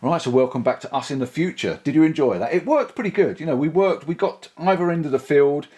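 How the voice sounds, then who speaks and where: A man's voice: fairly high pitch, fast, mellow, husky. A man casually demoing and testing gear for his vlog, thinking aloud as he goes. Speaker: vlogger reviewing gear. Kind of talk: casual product demo. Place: outdoors on a bike, then indoors.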